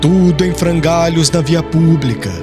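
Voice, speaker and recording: A Brazilian man reading an audiobook as dramatic scripted narration, in a measured, formal and theatrical storytelling manner. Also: a little high, music in the background.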